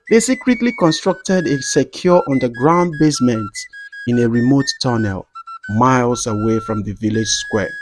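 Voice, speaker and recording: A man reading a scripted folktale as a narrator, in a measured, dramatic storytelling delivery. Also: medium pitch, a little mellow, rough recording. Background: background music.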